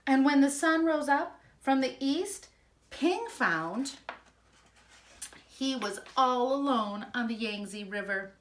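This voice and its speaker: Female storybook reader for children: high-pitched, expressive and emphatic, taking her time. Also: slow. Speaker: school principal reading aloud. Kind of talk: children's storybook read-aloud.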